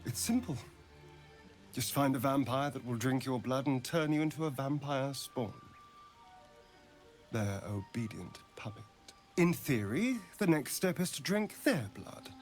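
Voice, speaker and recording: A male actor playing a sardonic, centuries-old vampire spawn in a video game, with an arch, dramatic delivery that swings from mocking to hushed. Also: medium pitch, lively intonation, a little slow, faint music behind, natural voice. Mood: sly, explanatory. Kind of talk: video game character dialogue.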